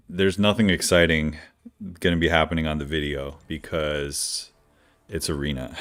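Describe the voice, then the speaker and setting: A man streaming a game live, chatting casually with viewers, with a smooth radio-like voice and a slightly stuffy nose from allergies. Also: low-pitched. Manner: relaxed, chatty, greets viewers by name. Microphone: decent studio microphone.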